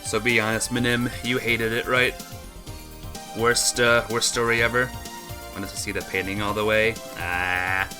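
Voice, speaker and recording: A woman's voice: rumbling low, little pitch movement, a little slow. A woman game streamer reading a visual novel aloud and chatting casually with viewers. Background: music.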